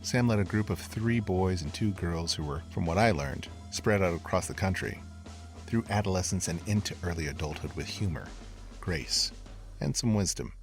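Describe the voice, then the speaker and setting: A man playing a brooding investigator who narrates a dark horror podcast in a measured, deliberate, confiding way. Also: deep voice, lively intonation, faint music behind. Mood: grave, brooding. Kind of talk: scripted first-person horror podcast narration.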